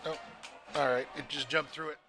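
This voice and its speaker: Guy doing color commentary on football, voice a bit high, chatty and kinda halting. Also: steady tone, bright, forceful tone, soft background music, low-quality recording. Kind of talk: casual football analysis. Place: outdoors at a football game.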